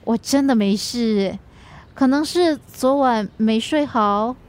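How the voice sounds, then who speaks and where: A troubled wife in a radio drama, with a high voice and a Singaporean accent. She is anxious and easily wounded, and speaks with an emotional, pleading edge. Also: slow, a little mellow. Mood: troubled, subdued.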